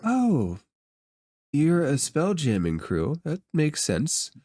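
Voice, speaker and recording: A man voicing a courteous, enigmatic stranger in a tabletop space fantasy game, formal, unhurried and ingratiating. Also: fairly high pitch, huge pitch swings, mellow, no room sound, clean studio sound, voice pushed up, full character voice. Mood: curious.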